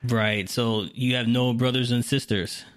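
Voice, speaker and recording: A man's voice: mid-range voice, steady tone, unhurried. A man hosting a podcast, asking questions in a loose, rambling way. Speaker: podcast host. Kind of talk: casual interview.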